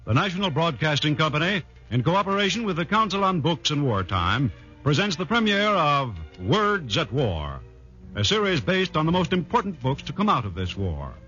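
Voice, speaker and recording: An American man announces formally in 1940s radio style, declamatory and very animated in pitch. His voice is fairly high, on a dull old recording with music behind. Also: normal speed.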